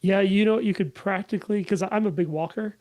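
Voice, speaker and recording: A man's voice: high voice, brisk, a little mellow, low-quality recording. A man chatting as a podcast guest. He talks in a light, chatty way and laughs easily. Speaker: podcast guest, event volunteer. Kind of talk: casual podcast chat.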